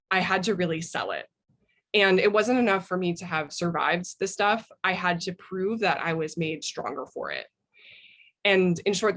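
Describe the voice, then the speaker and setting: American woman, slightly low-pitched voice. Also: a bit bright. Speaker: interview guest, memoir author. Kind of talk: thoughtful interview talk with personal storytelling.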